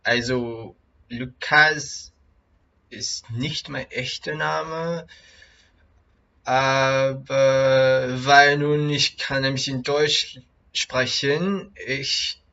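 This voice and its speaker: A young man who is not a native speaker talks slowly and haltingly on a home video, often stopping to restart a phrase. His voice is bright and a little pushed forward, and the recording sounds dull and lo-fi. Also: mid-range voice, fairly even intonation. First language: not German. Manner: halting, many restarts and fillers. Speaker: vlogger, German learner. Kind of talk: casual learner vlog.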